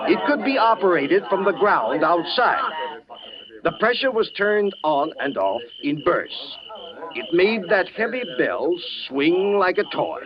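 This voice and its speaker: An actor in a 1940s radio drama playing an older, confident professor of stage magic with a theatrical, declamatory manner. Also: male voice, sits high. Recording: muffled vintage 1940s radio recording, crowd behind.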